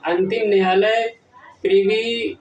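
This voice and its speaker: A man presenting a scripted educational lesson, reading formally in short, halting phrases. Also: sits high, level tone, slight background noise. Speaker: presenter of an educational history channel. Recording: echoey room, distant from the mic.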